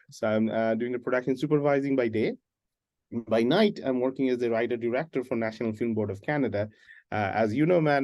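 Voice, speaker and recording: Chatty man from Bangladesh, mellow voice. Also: medium pitch. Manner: animated, jokey storytelling with laughs. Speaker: guest, animation production supervisor and writer-director. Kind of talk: casual interview talk.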